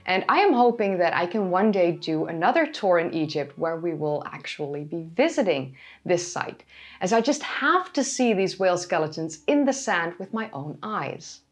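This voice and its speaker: A woman hosting a history channel, giving scripted educational narration in a raspy, hoarse voice after being ill. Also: medium pitch, lively intonation, moderate pace. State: hoarse from recent illness.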